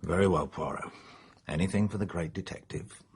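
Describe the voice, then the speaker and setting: Gruff british copper in a radio play, lowish husky voice, blunt n wry, bit sceptical. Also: male voice, fairly quick, darkish tone. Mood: wry, grudging. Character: gruff, sceptical British male police inspector, good-natured. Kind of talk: radio drama dialogue.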